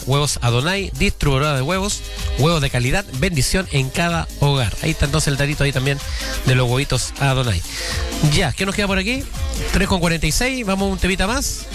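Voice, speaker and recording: Chilean man, local radio host, slightly high voice, quick pace, folksy, colloquial, rambling, repeats himself, music behind. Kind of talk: informal live radio chat with greetings and shop plugs.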